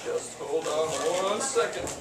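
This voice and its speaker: A man's voice: on the high side, expressive, unhurried. A young man in his twenties performing as a children's entertainer at a holiday party, upbeat and jokey as he coaxes the kids to join in. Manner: upbeat, jokey, coaxing the audience. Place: large hall decorated for the holidays, indoors. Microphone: far camera. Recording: echoey hall from far off, noisy kids.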